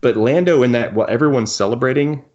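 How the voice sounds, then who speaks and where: A man's voice: mid-range voice, fast talker, a little mellow. A man co-hosting a podcast in casual discussion of films and books, conversational and given to acting out imagined quotes, on a lo-fi recording. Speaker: podcast co-host. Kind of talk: casual film and book discussion.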